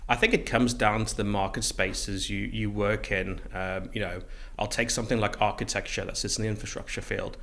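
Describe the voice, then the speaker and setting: Man, middle-aged, from South Africa, fairly low pitch, conversational interview guest. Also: steady tone, a little fast, a bit bright. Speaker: interview guest, recruitment business co-founder.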